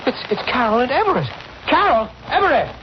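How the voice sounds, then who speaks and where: An actor playing a frightened, haunted man in 1940s radio style, anxious, halting and melodramatic. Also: very high voice, big pitch swings. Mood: nervous urgency. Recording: muffled noisy old radio recording.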